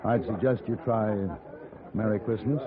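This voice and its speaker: A man with an American accent playing a calm, wry gunfighter hero in a 1950s radio Western. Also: lowish voice, normal speed, crowd noise, natural voice. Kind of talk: 1950s radio drama acting and narration. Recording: tinny old radio broadcast recording.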